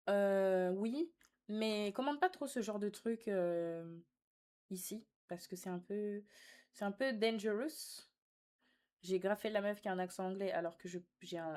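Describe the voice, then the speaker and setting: A young woman talks casually to her live stream audience at a middling pitch. She is sassy and teasing, uses plenty of slang, and moves between reading comments out and answering them directly. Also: takes it slowly, darkish tone.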